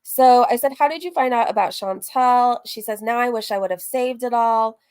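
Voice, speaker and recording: A woman with a fairly high voice hosts a casual reaction stream. She talks candidly and in a rambling way, reading messages aloud and cutting herself off with asides. Her language is loose and conversational, with some swearing. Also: moderate pace, darkish tone, smooth. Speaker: streamer and host of a reaction livestream.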